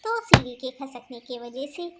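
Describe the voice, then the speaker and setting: High-pitched female story narrator. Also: very animated, moderate pace, heavy background noise. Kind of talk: scripted children's story narration.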